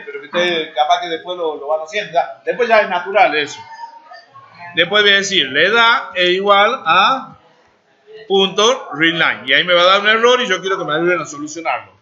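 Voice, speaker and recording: High, bright-voiced Argentine teacher. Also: male voice. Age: 40s. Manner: talks while typing, asks students checking questions. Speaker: programming teacher. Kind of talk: live classroom coding lesson. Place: classroom. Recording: dull lo-fi classroom recording.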